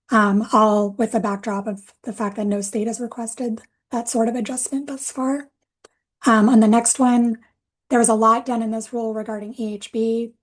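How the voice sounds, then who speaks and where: A woman giving a webinar presentation over a video call, explaining steadily with frequent fillers. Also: a little high. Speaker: policy presenter.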